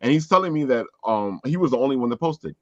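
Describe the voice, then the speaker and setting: A man's voice: mid-range voice, animated, fast talker. A man hosting a casual live panel chat over an online call. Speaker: podcast host. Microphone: online video call. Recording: roomy echo, dull lo-fi sound.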